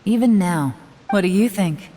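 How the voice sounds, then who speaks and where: An actress playing a cool, confident, sardonic investigator in a video game, with a wry, taunting and self-assured manner. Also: female voice, mid-range voice, expressive, normal speed, dark tone, a little hiss or hum, lightly acted. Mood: mocking, reproachful. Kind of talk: dramatic video game voice acting.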